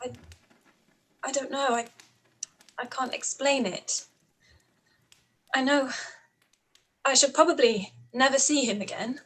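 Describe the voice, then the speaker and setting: A woman's voice: sits high, takes it slowly, long pauses, a bit bright, exaggerated voice. A woman playing an earnest, outspoken young Regency woman in a period radio play, with formal diction. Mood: anguished. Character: Lizzy, anguished, outspoken young Englishwoman of Regency times.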